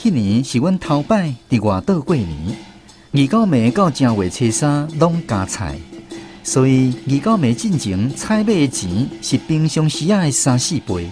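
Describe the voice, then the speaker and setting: An actor narrating as a former conscript in a radio drama, telling scripted first-person memories with a Taiwanese accent. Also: male voice, medium pitch, sing-song, moderate pace, natural voice. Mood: reflective. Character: wry former conscript narrating his island army memories. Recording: music bed under narration.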